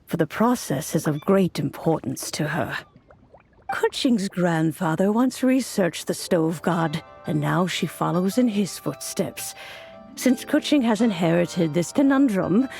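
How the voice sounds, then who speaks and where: Gentle old granny mentor in a game, slow gravelly voice, quiet music behind. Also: female voice, fairly low pitch, exaggerated voice. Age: older. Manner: gentle, unhurried, softly laughing. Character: elderly wise grandmotherly mentor, serene and fond. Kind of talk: video game cutscene voice acting.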